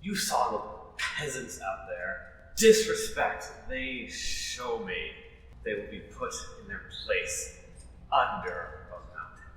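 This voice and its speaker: A man playing a menacing, vengeful would-be wizard in a fantasy role-play, speaking in a grim, pompous, deliberate way. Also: on the low side, lively intonation, fairly bright tone. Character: menacing, vengeful would-be wizard who demands fearful respect. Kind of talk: improvised tabletop fantasy role-play acting. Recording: echoey room with long reverb.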